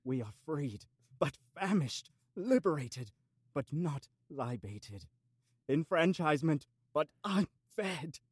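A man's voice: on the high side, sing-song, slow, husky, dry recording, full character voice. A grandiose she-goat orator, acted by a man, addresses the world in florid, archaic speech. The delivery is pompous and theatrical, made for an audio drama, with a close, dry sound. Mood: grandly proclaiming.

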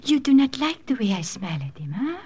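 A woman's voice: on the high side, big pitch swings, a little fast, dark tone, husky. An actress playing a teasing, seductive woman in a 1940s radio drama. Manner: coy, teasing, sprinkles French phrases.

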